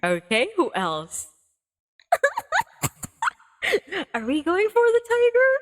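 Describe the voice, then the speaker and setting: A woman livestreamer chatting playfully, with a giggly, gushing, expressive way of talking. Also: very high voice, slow pace.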